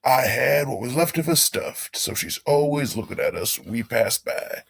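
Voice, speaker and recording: A man's voice: mid-range voice, animated, normal speed, creaky, a bit bright, breathy edge, exaggerated voice. A man playing a folksy older animatronic beaver, a cheerily macabre father putting on a hammy stage show in an audio drama. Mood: fondly confessional.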